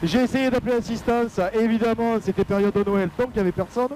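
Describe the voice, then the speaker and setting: A man's voice: very high-pitched, fairly even intonation, fast, darkish tone. A man giving a casual, jokey product review while flying, talking through a helmet intercom microphone. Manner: chatty, jokey, explanatory. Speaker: paramotor pilot vlogger and presenter. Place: outdoors, flying a paramotor. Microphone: helmet intercom headset microphone with noise reduction. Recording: faint motor noise behind headset mic. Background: motor noise, muffled.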